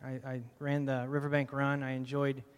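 A man from Michigan, a pastoral candidate, sharing his background in a mild, soft-spoken, rambling way. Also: mid-range voice, completely flat delivery, normal speed, clean tone. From Michigan, USA. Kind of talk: casual personal testimony. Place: church hall. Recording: reverberant hall audio.

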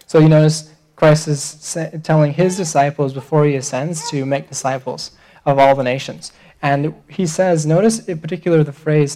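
A man's voice: fairly high pitch, a little mellow. Earnest hesitant young man preaching. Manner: earnest, hesitant with many fillers. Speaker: visiting missionary speaker. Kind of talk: church missions talk.

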